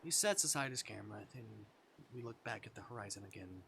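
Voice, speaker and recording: A man's voice: lowish voice, lively intonation, quick pace, creaky, sharp tone, a little hiss or hum. A man streaming live, reading visual novel narration aloud and now and then tripping over the words and correcting himself.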